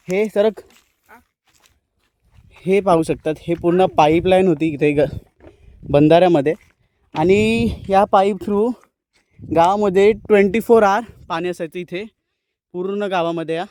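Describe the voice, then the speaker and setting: A man's voice: high-pitched, a little slow, long pauses, a little mellow. A young man talking casually to viewers as a vlogger, switching between languages and repeating himself. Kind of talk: casual vlog chat to viewers. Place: mostly outdoors in windy countryside.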